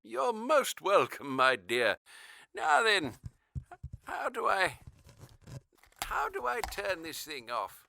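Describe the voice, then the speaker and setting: Creaky, slow-talking very old man. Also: high-pitched, wildly swooping pitch, sharp tone, no room sound, exaggerated voice. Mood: kindly, chuckling. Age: older. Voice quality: creaky, aged voice. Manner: halting, courteous, old-fashioned. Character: ninety-three-year-old man, gentle Earth-born elder, wistful. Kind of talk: audio drama radio interview.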